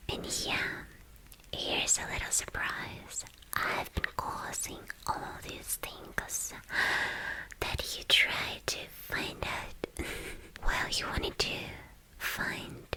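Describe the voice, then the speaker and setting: A woman playing a playful, boastful young female villain in an ASMR roleplay, whispering breathily and teasingly with halting, repeated phrases. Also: close to an everyday voice, low-quality recording. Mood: obsessive, gloating.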